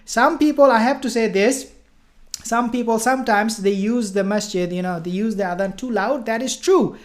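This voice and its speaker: A woman's voice: on the high side, moderate pace. A woman giving informal religious and political commentary, speaking emphatically with repetition and rhetorical questions.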